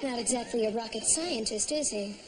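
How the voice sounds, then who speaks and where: An actress playing a sassy, wisecracking 1940s nightclub singer in a television sitcom. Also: female voice, fairly high pitch, a little fast, background noise, close to an everyday voice. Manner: wisecracking, brassy.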